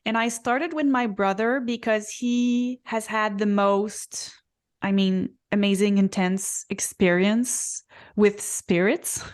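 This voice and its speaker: A woman in her forties co-hosts a casual spiritual podcast. She speaks at an unhurried, deliberate pace, with frequent pauses and ums as she recalls her story. Her pitch sits in an ordinary middle range. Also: fairly even intonation. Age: 40s. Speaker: podcast co-host, Akashic reader.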